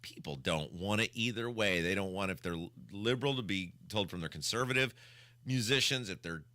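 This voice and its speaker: A man's voice: lowish voice, brisk, pushed and bright, dry recording. A man hosting a radio talk show, giving opinionated banter in an emphatic, exasperated, repetitive ranting style.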